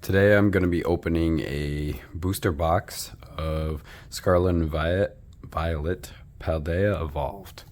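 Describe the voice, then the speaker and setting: Guy losing his voice, super low and hoarse, slow rambly chat with lots of ums. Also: steady tone, a little mellow. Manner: rambling, many ums and restarts. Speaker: card opening vlogger. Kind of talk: casual chat while opening packs.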